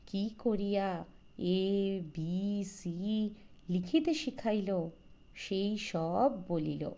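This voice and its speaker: A woman narrates a classic literary story at an unhurried, slow pace. Her tone is mellow and relaxed, set in the middle of the female range. The recording sounds slightly dull and muffled. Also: mid-range voice. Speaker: audiobook narrator.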